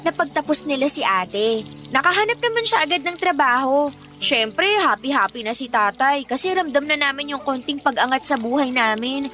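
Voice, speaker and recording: Candid, high-voiced eighteen-year-old Filipina working girl. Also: expressive, normal speed, bright, forceful tone. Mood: confiding. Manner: conversational, emphatic storytelling. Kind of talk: Tagalog radio drama acting. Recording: music bed under the dialogue.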